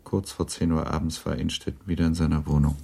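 A man narrating a literary radio drama in a sober, measured way. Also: very deep voice, level tone, creaky, a little mellow, slight background noise.

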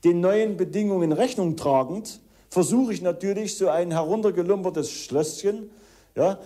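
A man's voice: sits high, moderate pace, dark tone. A man making a wordy, formal, indignant statement in his own defence. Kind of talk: self-justifying interview statement.

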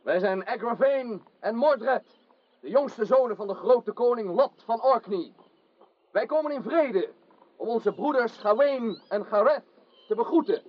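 A man's voice: very high-pitched, lively intonation, a little slow, exaggerated voice. A man playing a polite, deferential young prince and knight in a radio drama, speaking formally. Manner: formal, courtly. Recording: muffled old radio recording.

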